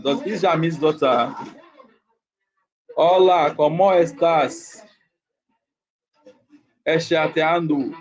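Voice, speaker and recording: A man's voice: a little high, husky, dull audio. A man from Nigeria with a Nigerian accent, hosting a casual livestream chat and repeating his phrases over and over. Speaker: livestream host.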